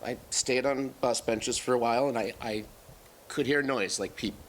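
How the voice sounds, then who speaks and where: A man in a staged play reading, playing a troubled, evasive brother who hears voices, speaking in a halting, repetitive, disjointed way. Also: mid-range voice, slight background noise, close to an everyday voice. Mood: wistful, rambling.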